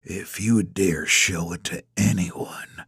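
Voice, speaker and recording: A menacing male villain played by a male narrator, speaking low and hushed. His harsh, measured delivery turns every clipped phrase into a threat in this audiobook scene. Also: slightly rough, no echo, clean studio sound, full character voice. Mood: guarded, threatening. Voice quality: hushed, harsh, partly whispered. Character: menacing older male villain, smooth official hiding threats. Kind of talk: acted audiobook dialogue.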